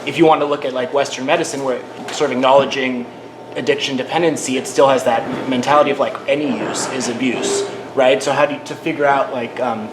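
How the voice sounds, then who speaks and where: A man's voice: mid-range voice, background noise. Casual man on a panel, echoey room. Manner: casual, with frequent "like" and "you know". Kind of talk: panel discussion answer.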